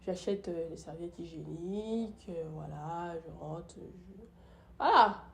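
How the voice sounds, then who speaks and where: A woman's voice: lowish voice, takes it slowly, vocal fry. A young woman with the flu tells a story about her day in a casual vlog, with many fillers and restarts. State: sick with the flu. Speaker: YouTuber.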